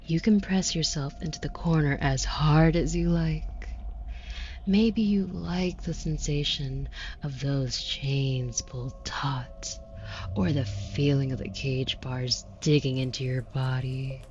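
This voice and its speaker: A woman acts out a seductive female demon in an audio roleplay, taunting and condescending. Her low voice moves very slowly and deliberately, breathy and slipping into whispers. She sounds flirty and quietly menacing, over noisy ambience. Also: lo-fi sound. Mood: coaxing, menacing. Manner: teasing, condescending, slow deliberate delivery dropping into whispers.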